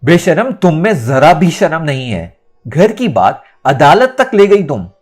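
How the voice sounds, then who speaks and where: Man acting hot-tempered domineering man, raised pitch. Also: sing-song, a little slow, breathy edge, faint music behind, heavily acted. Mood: furious. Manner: shouting, scolding, indignant. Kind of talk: dramatic audiobook character acting.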